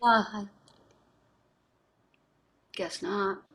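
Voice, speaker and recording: A woman's voice: medium pitch, steady tone, drawn-out words, a bit bright, breathy edge, heavily acted. A woman acting a wealthy, restrained wife with a brittle, clipped politeness in a play reading. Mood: subdued. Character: wealthy, restrained, quietly bitter wife named Betsy. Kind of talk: staged play reading over video call.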